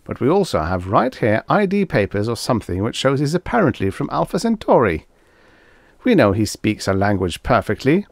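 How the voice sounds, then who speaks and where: A man's voice: medium pitch, big pitch swings, moderate pace, gravelly, no echo, studio quality, voice pushed up. A man reading an audiobook, voicing a take-charge man who speaks assertively and reasons things out aloud. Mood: insistent, reasoning. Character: commanding, take-charge man giving brisk orders. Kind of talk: audiobook character dialogue.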